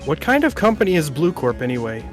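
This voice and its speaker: A fairly high male voice with music underneath , an amateur reading the part. He is a young game-world defense lawyer, earnest and polite, questioning in a plain, slightly hesitant way. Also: big pitch swings, fast. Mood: probing. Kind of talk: video game dialogue read aloud by amateur.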